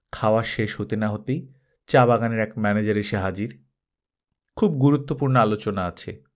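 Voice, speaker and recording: Male audiobook narrator, mid-pitched and animated, for dramatic scripted fiction.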